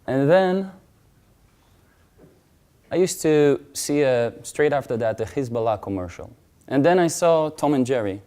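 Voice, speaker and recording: A man giving a conference talk, telling a personal story earnestly and rising to loud, emphatic peaks. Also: mid-range voice, expressive, unhurried, pauses often, smooth. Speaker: conference speaker. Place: conference stage.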